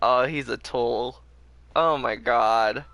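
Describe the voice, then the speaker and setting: Bright-voiced young man, chatty game streamer. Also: mid-range voice, takes it slowly, gravelly, soft background music. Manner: chatty, prone to giggling. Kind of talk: casual game stream commentary.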